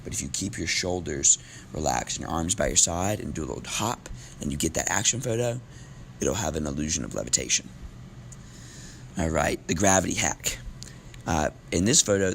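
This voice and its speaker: A very low-voiced man with a gravelly edge, teaching a class while his voice is going. He talks loosely and casually, filling pauses with ums. A faint hiss of noise sits behind him. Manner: relaxed, casual with filler ums. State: losing his voice. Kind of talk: recorded classroom lesson.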